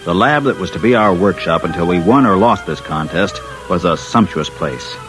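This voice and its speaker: A man's voice: low voice, expressive, moderate pace. An actor playing a wry, wary engineer who narrates his own adventure in an old-time radio drama. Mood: wry narration. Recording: vintage radio with music underneath.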